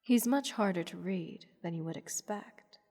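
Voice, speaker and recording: A woman voicing a playful, haughty goddess in an intimate fantasy audio roleplay, teasing and condescending toward a captive mortal in measured, theatrical speech. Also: fairly low pitch, a little mellow. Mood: impressed, sly. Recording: long reverb with music behind. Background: orchestral music.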